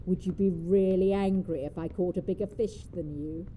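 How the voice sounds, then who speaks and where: A woman's voice: lowish voice, steady tone, normal speed, warm tone, a little hiss or hum, natural voice. An actress playing a feisty, mischievous old woman in an audio drama, her voice muffled. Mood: cheeky, wistful. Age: older.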